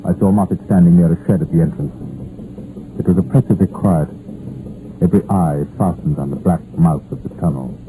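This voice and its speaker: An actor playing a composed, sombre police inspector, measured and understated, in an old radio drama. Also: male voice, deep voice, close to an everyday voice. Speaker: narrator and lead detective. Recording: muffled old radio broadcast, hissy.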